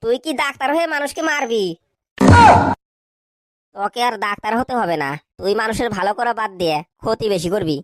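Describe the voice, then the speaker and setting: A woman's voice: a little high, very noisy, lightly acted. A woman voicing a bad-tempered, bullying schoolteacher who scolds and fires questions in a comic cartoon. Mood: angry scolding. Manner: scolding, hectoring, rapid questioning.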